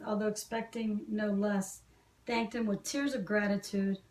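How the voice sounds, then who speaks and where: A woman reading a classic novel aloud as an amateur audiobook narrator. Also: medium pitch. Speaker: volunteer audiobook reader. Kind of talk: scripted literary narration. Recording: echoey room, slightly distant mic.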